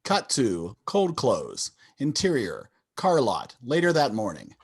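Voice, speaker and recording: A man narrates a screenplay's stage directions. His voice is medium in pitch with lively, varied intonation, in a scripted reading style. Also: slow pace, breathy edge. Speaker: narrator of a table read. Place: indoors, on a video call.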